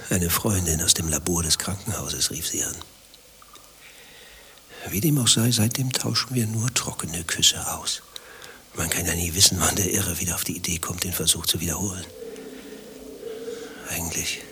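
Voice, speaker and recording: Infatuated married lover, low voice, impassioned self-justifying speech, radio play, slight ambient noise. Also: male voice, bright, forceful tone. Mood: confiding, breathy. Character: married man, infatuated adulterous lover, defensive and philosophizing. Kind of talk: German radio play dialogue acting.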